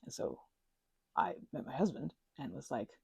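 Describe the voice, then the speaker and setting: A woman hosting an ASMR channel, rambling in a chatty, giggly way with lots of fillers and a breathy voice that slips into whispers. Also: very deep voice, lively intonation, normal speed, warm tone, no room sound. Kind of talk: soft-spoken rambling chat.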